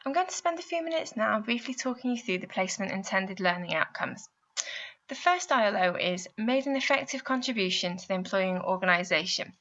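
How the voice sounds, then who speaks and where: Bright-voiced woman narrating guidance. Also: mid-range voice, lively intonation, fairly quick, dull audio. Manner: clear, explanatory, addressing the listener with guiding questions. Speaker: university placement adviser narrating a guidance video. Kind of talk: scripted instructional narration.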